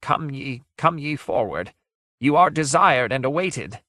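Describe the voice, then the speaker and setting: A man's voice: mid-range voice, very animated, unhurried, no echo, clean studio sound, pitched above the performer's own voice. Man voicing a breathless, quavering, sorrowful ghost of terror. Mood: eerie, beckoning. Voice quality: soft, breathless, quavering, sighing. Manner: archaic, ornate, mournful speech. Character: sad ghostly abstraction of terror, breathless and menacing.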